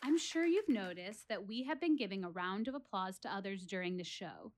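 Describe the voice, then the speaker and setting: A woman's voice: medium pitch, expressive, brisk, a little hiss or hum, natural voice. An actress playing an earnest, wry woman philosophizing to her theater audience in a dramatic one-woman monologue. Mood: earnest, encouraging. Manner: dramatic, rhetorical, addressing listeners directly.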